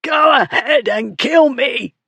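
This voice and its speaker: A hoarse, high-strained furious bully. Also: male voice, slow pace, bright, forceful tone, no echo, heavily acted. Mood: choked defiance. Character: brutish bully, furious, foul-mouthed, choking man.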